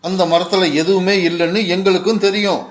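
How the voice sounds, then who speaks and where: A man's voice: high-pitched, flat delivery, fairly quick, slight background noise, close to an everyday voice. A man voicing a bullying, scornful male crow in a children's cartoon, with a taunting, bossy manner. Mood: smug, mocking.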